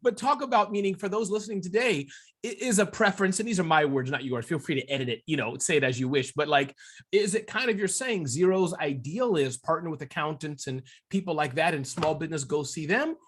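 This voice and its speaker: This is a man with a clearly high-pitched voice for a male speaker. He hosts a podcast and talks with effusive enthusiasm. His style is wordy and jokey, moving between upbeat intro patter and chatty interview questions. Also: brisk.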